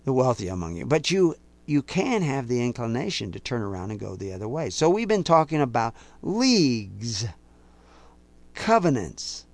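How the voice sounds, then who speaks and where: A man preaching a sermon to his listeners, accusing and emphatic, slowing down to quote scripture in a measured cadence. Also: mid-range voice, big pitch swings, creaky. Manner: intense, rising to emphatic outbursts; accusing, speaks directly to listeners.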